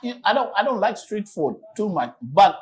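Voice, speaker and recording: A man hosting a casual on-location chat in a small restaurant, lively and promotional. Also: high voice, normal speed. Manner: lively and promotional, urging viewers to visit. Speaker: channel host.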